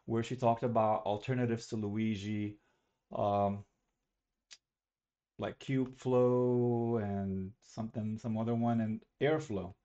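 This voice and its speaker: A man's voice: lowish voice, fairly even intonation, slow, dark tone, muffled recording. A young man from Algeria giving a recorded student presentation on a webcam, talking casually and correcting himself as he goes. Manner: casual, self-correcting, slightly rambling.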